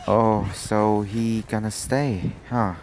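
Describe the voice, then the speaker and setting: Gravelly, slow-talking man reacting to a game. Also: fairly low pitch, steady tone, a little mellow. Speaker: gamer reacting on camera. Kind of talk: casual game reaction commentary. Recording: game music behind the voice.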